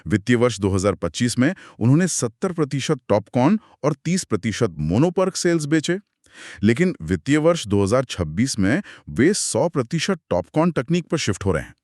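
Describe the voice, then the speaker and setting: A man's voice: mid-range voice, big pitch swings, moderate pace, darkish tone, no echo, studio quality. A man with an Indian accent co-hosting a conversational podcast discussion, inquisitive and emphatic as he questions and sums up. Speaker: co-host asking questions.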